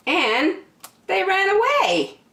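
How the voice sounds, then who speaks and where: Slow, expressive woman storyteller in echoey room. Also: very high voice, gravelly. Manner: expressive, emphatic, drawn-out key words. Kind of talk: animated children's storybook reading.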